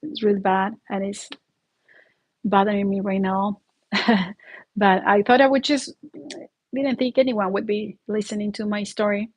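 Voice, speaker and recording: A woman's voice: mid-range voice, level tone, a little slow, long pauses, rough recording. A woman from Mexico with a Mexican Spanish accent hosting her own channel, speaking earnestly and laughing often while sick with the flu and a sore throat. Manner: earnest, heartfelt, laughs often mid-sentence. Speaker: YouTube channel host. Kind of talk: casual livestream monologue.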